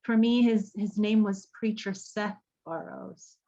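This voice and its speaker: An actress playing an earnest, haunted woman in an audio drama, speaking haltingly and searching for her words. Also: mid-range voice, steady tone, mellow, muffled recording, natural voice. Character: earnest, haunted woman running an apocalypse support group. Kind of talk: naturalistic podcast radio drama acting.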